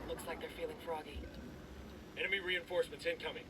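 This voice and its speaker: Male actor as special forces soldier, slightly high voice, terse, tactical, distant game callouts. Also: little pitch movement, fast, close to an everyday voice. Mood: focused. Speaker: soldier squadmate. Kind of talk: video game combat callouts. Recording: distant, heavy gunfire and vehicle noise.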